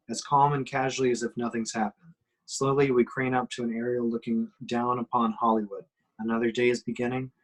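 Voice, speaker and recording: A man reading screenplay action lines aloud as scripted narration, in a husky voice. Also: medium pitch, poor audio. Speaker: action-line reader.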